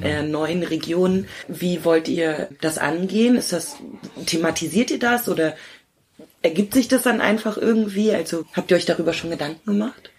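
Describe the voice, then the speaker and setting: Low, husky woman interviewer. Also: darkish tone. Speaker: radio interviewer. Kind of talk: spontaneous conversational interviewing.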